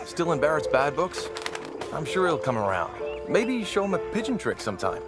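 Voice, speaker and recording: An actor playing a friendly, encouraging soldier comrade, chatting casually in a war game. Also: male voice, a little high, big pitch swings, normal speed, over loud music. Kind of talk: casual game dialogue in character.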